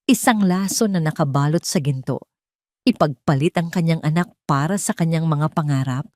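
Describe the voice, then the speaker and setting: Low-pitched woman narrating a drama. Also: normal speed, darkish tone, dry recording, clean studio sound. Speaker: storyteller.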